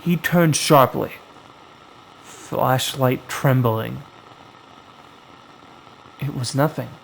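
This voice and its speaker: A man narrating a scripted horror story as its storyteller, in a dramatic, suspenseful way with long pauses. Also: mid-range voice, gravelly, slight background noise, low-quality recording. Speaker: storyteller, host of a horror anthology.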